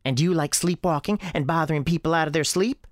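Bossy girl grown-up putting it on, highish voice, always correcting and scolding, radio tale. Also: fast, dry recording, clean studio sound, natural voice. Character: bossy, sharp-tongued protective sister, imaginary girl companion.

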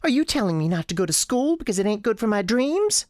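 Young Southern schoolgirl acted by an adult, high and animated, eager, rustic, rambling; radio tale. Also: fast, dry recording, studio quality, natural voice. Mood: defiant. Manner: rustic childish grammar, eager rambling confessions. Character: frightened, earnest young Southern girl, chatty schoolchild.

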